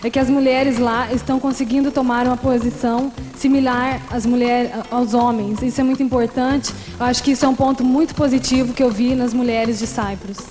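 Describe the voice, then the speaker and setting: A woman's voice: a little high, fairly even intonation, fast talker, few pauses, darkish tone, faint music behind. A woman from Brazil, a pageant contestant, answering on stage through an interpreter and mixing short English phrases into her answer.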